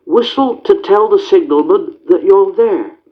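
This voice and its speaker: A storybook narrator voicing a kindly older male engine giving a quick, earnest warning, from a muffled radio recording. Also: medium pitch, pitched above the performer's own voice, lightly acted.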